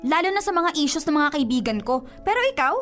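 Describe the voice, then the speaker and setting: A woman's voice: high voice, lively intonation, quick pace, a bit bright, soft background music. A woman playing a suspicious, sulky young girlfriend in a radio drama, accusing and nagging with a Filipino accent. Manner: accusing, indignant.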